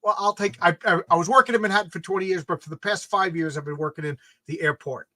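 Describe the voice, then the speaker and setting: A man's voice: sits high, quick pace, pushed and bright, dry recording. Man, New York accent, middle-aged, stammering storyteller, dry sound. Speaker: host. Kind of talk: casual conversation.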